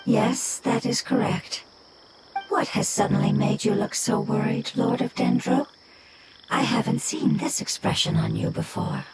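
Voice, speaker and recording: A woman voicing a small, gentle forest spirit in a game, speaking in a soft breathy whisper with a solemn, formal manner. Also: fairly high pitch, fairly even intonation, unhurried, natural voice, poor audio. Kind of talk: game voice acting. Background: loud game music.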